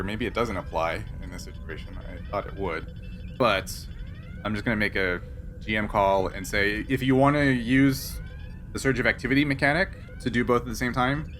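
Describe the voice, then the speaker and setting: A man acting as game master, explaining tabletop game rules in a conversational way. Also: on the low side. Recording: clear background noise.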